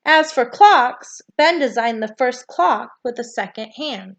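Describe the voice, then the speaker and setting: A woman's voice: fairly high pitch, lively intonation, a little slow, a bit bright. A woman reading a children's nonfiction book aloud as narration.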